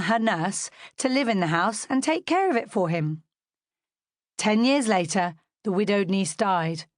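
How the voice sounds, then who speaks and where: A British woman narrates a storybook as a scripted audiobook. Her voice sits at a middling pitch, and the recording is dry, with very little room around it. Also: no echo.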